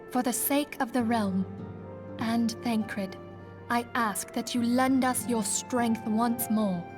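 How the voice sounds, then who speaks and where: A woman playing a gracious, earnest young leader of a fantasy order, speaking in formal, archaic, measured diction in a video game cutscene. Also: fairly high pitch. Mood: earnest, solemn.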